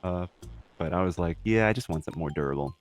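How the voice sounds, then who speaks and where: A man's voice: deep voice, quick pace. A young man co-hosting a podcast in casual chat, talking in a slangy, mildly profane way. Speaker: podcast co-host.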